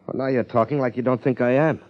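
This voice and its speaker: A man acting a sullen, slow-witted crook in a 1950s radio drama, hesitant and guarded. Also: mid-range voice, fairly even intonation, highs cut off, close to an everyday voice. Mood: defensive. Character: cornered small-time male crook, sullen, slow-witted impostor.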